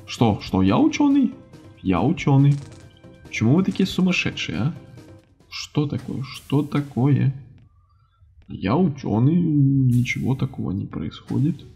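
A man giving casual, animated let's-play commentary on a video game, reacting and muttering to himself as host. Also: medium pitch, a little slow, vocal fry, husky, faint music behind. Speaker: gaming channel host.

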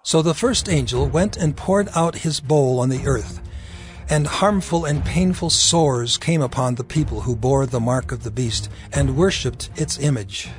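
A man narrating dramatized scripture in a solemn, deliberate manner. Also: medium pitch, soft background music.

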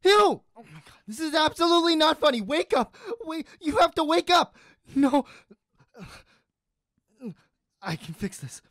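A young adult actor playing an earnest, heartbroken character with halting speech in an audio drama. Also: sits high, very animated, fairly bright tone, dry recording, studio quality, exaggerated voice. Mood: anguished. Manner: hesitant, earnest, halting confession. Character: heartbroken, lovesick young adult secretly turning into a beast.

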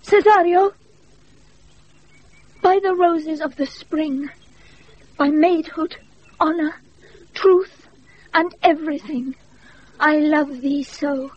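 An actress playing a proud young countess in love, in a declamatory, ardent classical Shakespearean radio style. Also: female voice, high-pitched, takes it slowly, pauses often. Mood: ardent, pleading. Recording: muffled, noisy off-air radio recording.

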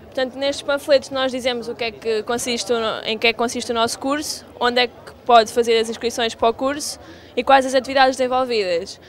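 A woman's voice: fairly high pitch, steady tone, a little fast. Young woman showing her fair stand. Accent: European Portuguese. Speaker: student exhibitor. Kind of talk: casual explanation. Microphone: handheld reporter mic.

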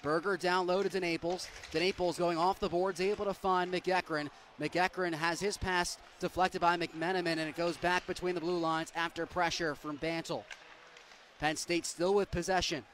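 A young man calls a hockey game play-by-play in a fairly high voice. He keeps up a quick, steady flow of action and then erupts into loud, excited goal calls. A faint hum of arena noise sits behind him. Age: young adult. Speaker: play-by-play announcer.

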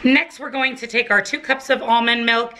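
A woman's voice: a little high, fairly even intonation, normal speed, sharp tone. A woman in her thirties giving a chatty, upbeat step-by-step cooking voice-over from her home kitchen. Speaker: cooking vlogger.

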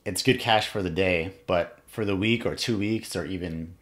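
A young man in his twenties hosting a solo podcast, talking in a reflective, earnest way with pauses as he thinks aloud, in a small room at home. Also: low voice, fairly bright tone. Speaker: podcast host. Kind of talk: solo motivational podcast monologue.

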